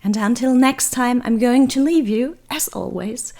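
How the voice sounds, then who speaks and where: A young woman from Germany with a hoarse, raspy voice and vocal fry, chatting through a travel vlog voice-over in a rambling, self-deprecating way. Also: a little high, a little slow, warm tone. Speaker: travel vlogger. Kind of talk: voice-over narration.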